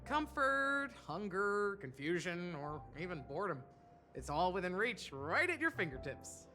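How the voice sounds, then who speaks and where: A woman's voice: fairly low pitch, big pitch swings, measured pace, sharp tone, exaggerated voice. A woman playing a teasing, alluring dream-bar hostess in a video game, coaxing and theatrical. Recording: music clearly behind voice.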